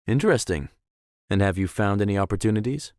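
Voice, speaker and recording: A man's voice: on the low side, expressive, quick pace, darkish tone, no echo, studio quality, lightly acted. A man voicing a suave, self-assured young billionaire in a visual novel, with formal, polished and theatrical phrasing. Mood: curious. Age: young adult. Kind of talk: visual novel character acting.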